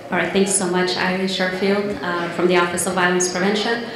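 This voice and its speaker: A woman hosting a community forum, briskly thanking and introducing speakers into a handheld mic, mixing English and Somali. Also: fairly low pitch, flat delivery. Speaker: event host. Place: large indoor event hall. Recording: very echoey hall with crowd behind.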